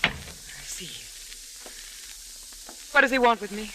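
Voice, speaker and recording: An actress playing a bitter, wounded young American wife, hesitant and brittle, in 1940s radio melodrama style. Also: female voice, fairly high pitch, takes it slowly. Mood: guarded. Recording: faintly noisy old radio recording.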